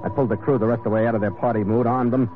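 An actor playing a tough, wry sea captain who also narrates a vintage radio adventure in a clipped way. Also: male voice, medium pitch, steady tone, rapid-fire, lightly acted. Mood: wry narrating. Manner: clipped, wry, hard-boiled. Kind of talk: old-time radio adventure acting and narration. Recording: tinny vintage radio recording.

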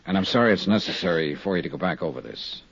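A husky American private investigator, world-weary and hardboiled, with a pitch that swings dramatically through clipped lines. It is a muffled vintage fifties radio drama recording. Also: male voice, animated, fast, a bit bright, no room sound, exaggerated voice. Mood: gentle probing. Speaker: radio drama lead and narrator. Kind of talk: 1950s radio drama acting.

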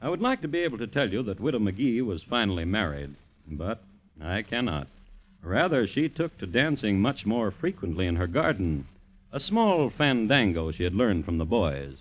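A macabre crime storyteller hosting an old American radio drama. His low voice is deliberate and deadpan yet widely inflected, droll and formal, on a muffled vintage recording. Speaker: narrator and host. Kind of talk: 1950s radio narration, scripted.

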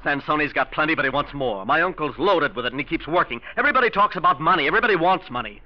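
An actor playing a scheming young nephew, a glib liar who stammers when cornered, in old-time radio drama style. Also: male voice, a little high, lively intonation, very fast, close to an everyday voice. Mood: agitated. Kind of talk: 1940s-50s radio drama acting. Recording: dull vintage radio recording.

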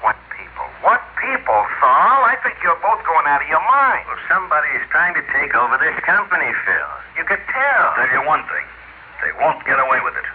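A man's voice: fairly high pitch, sing-song, fairly quick, very noisy. An agitated, suspicious businessman, tinny old radio. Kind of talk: old-time radio drama acting.

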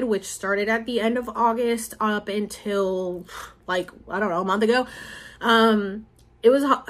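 A woman's voice: on the high side, fairly bright tone. A young woman from Puerto Rico giving a rambling, filler-heavy monologue update, repeating herself for emphasis. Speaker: spiritual vlogger.

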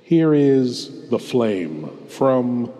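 A man performing as a suave, sinister lord who hosts horror shows, with theatrical, intimate, deliberate narration. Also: mid-range voice, big pitch swings, warm tone. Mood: ominous. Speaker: show host. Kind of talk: scripted horror-host narration. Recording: long hall reverb.

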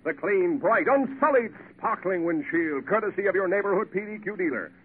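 A tinny vintage radio advertisement with faint noise. a pompous, grandiose American orator, pitching rapidly in a high voice. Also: male voice, expressive. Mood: grand. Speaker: commercial pitchman.

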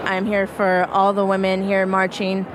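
A woman's voice: mid-range voice, completely flat delivery, moderate pace. A woman from Mexico speaking at a march, frank and indignant. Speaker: march participant. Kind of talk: street interview answer. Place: outdoors at a street march. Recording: street noise behind.

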